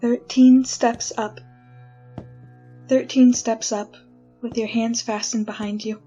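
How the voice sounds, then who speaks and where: A woman acting a frightened condemned prisoner in a retro radio drama, pleading innocence in a halting, folksy way. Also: on the high side, flat delivery, warm tone, highs cut off, soft background music. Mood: haunted dread.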